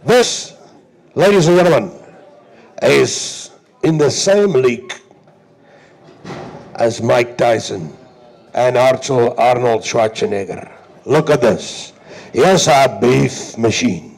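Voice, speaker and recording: A man's voice: mid-range voice, slow pace, vocal fry, pauses often, a little mellow. A South African man working as a livestock auctioneer, with rhythmic, repetitive chant and showy, emphatic sales patter.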